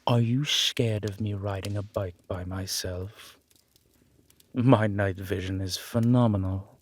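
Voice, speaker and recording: A man voicing a grave, protective, centuries-old immortal in a roleplay audio drama, speaking in a measured, formal and solemn manner. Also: fairly low pitch, fairly even intonation, voice pushed down. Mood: solemn, guarded.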